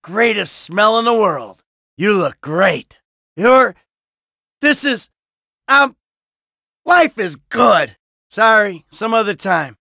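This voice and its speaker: A man's voice: high voice, lively intonation, slow, vocal fry, pauses often, sharp tone, no echo, studio quality, full character voice. A man voicing a cynical, brash middle-aged American criminal in a video game, sarcastic and foul-mouthed. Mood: flirtatious, smug. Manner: sardonic, brash, swearing.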